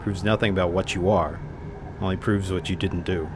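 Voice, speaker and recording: A man's voice: sits low, steady tone, moderate pace, noisy background, lightly acted. An actor playing a grave, suspicious man on a besieged polar expedition in an audio drama, terse and blunt. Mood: grim suspicion.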